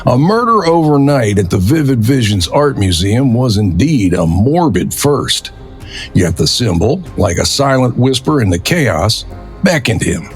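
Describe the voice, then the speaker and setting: A man narrating a crime drama from a script in a dramatic storytelling style. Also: mid-range voice.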